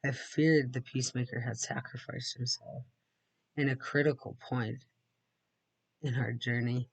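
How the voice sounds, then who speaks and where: A man's voice: medium pitch, flat delivery, measured pace, gravelly, husky, no echo, rough recording. A man reading a storybook aloud, sometimes dropping into casual asides. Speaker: read-aloud narrator.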